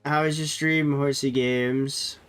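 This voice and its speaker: A man's voice: mid-range voice, fairly even intonation, slow pace, fairly bright tone, smooth, soft background music. A man streaming a game while sick with a cold, sounding nasal and chatting loosely with viewers, often correcting himself. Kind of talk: casual live-stream chat.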